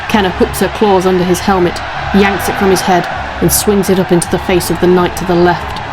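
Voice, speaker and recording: Low, even-toned female story narrator. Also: poor audio. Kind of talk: scripted narration of fantasy action story. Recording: loud music under the voice.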